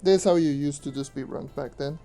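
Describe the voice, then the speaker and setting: A young man streaming a game and answering questions in loose, rambling casual talk, with a hoarse voice he says he is losing. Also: on the high side, fairly quick, mellow. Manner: rambling, loose with fillers, mutters to himself. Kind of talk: casual gameplay commentary and Q&A. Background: faint music.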